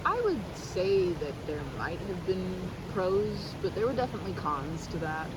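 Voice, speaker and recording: A woman in her thirties with an American accent, rambling in a casual, animated and playfully theatrical way as a chatty best friend in an ASMR roleplay. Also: on the low side, normal speed, heavy background noise. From United States. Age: 30s. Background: river park ambience with water and vehicle sounds.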